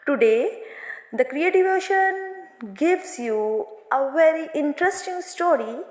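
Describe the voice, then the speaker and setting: A reverberant, echo-heavy recording of a woman giving a lesson. Her voice sits a little high. She explains a moral story for students in hesitant phrases with non-native English grammar. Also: animated, slow, darkish tone. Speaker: teacher. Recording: echoey room with long reverb.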